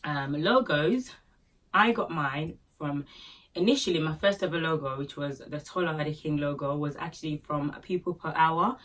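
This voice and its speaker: A woman's voice: sits low, normal speed, a bit bright, far from the mic. A woman from Nigeria with a Nigerian Yoruba accent, chatting casually to camera and giving business tips with joking asides and fillers. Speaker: small business owner and vlogger.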